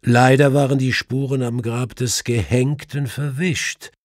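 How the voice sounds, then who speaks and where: A man's voice: mid-range voice, fairly even intonation, measured pace, no room sound, clean studio sound, pitched above the performer's own voice, lightly acted. A man voicing a clever, self-assured male detective who explains things precisely in an audiobook. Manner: precise, explanatory, faintly mischievous.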